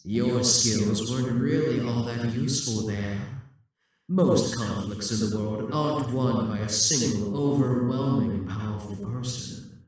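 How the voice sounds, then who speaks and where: Demon king, male performer: brooding, grandiose audio-drama villain, unhurried delivery, distant and drenched in echo. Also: mid-range voice, natural voice. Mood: mocking, condescending. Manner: slow, deliberate, condescending, grandiose. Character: possessive, brooding demon king risen from death, seductive and imperious. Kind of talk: scripted audio roleplay monologue. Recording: echoing, reverberant, distant from the mic.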